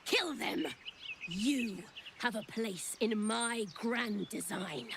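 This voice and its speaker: A possessed woman in a video game, speaking for a frantic hive mind. Her voice is creaky and extremely slow, in halting, urgent, cryptic bursts, with clear ambient noise behind. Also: medium pitch. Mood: commanding. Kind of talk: dramatic video game acting.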